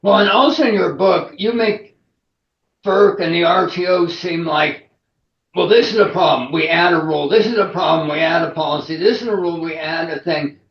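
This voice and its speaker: A man's voice: a little high, moderate pace. A man working as an interviewer, putting questions and comments in a conversational way, on a roomy, muffled recording. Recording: roomy echo, dull muffled sound.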